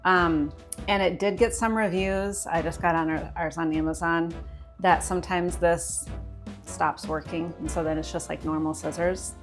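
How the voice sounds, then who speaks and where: An American woman with a lowish voice talks casually as co-host of a cooking show. She explains things step by step in an easy conversational way. Faint music plays behind her. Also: steady tone, moderate pace. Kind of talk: casual chat while demonstrating cooking.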